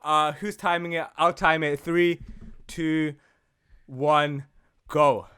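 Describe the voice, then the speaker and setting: A man's voice: on the high side, flat delivery, slow, sharp tone, clear, resonant tone. A man co-hosting a casual podcast with brash, sweary, jokey banter. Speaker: podcast host. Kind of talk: casual chat.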